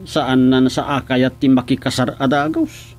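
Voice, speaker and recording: A man's voice: mid-range voice, fairly even intonation, moderate pace, faint music behind, close to an everyday voice. A man with an Ilocano accent acting a boastful, coaxing suitor in a comedic radio drama. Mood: casual, evasive.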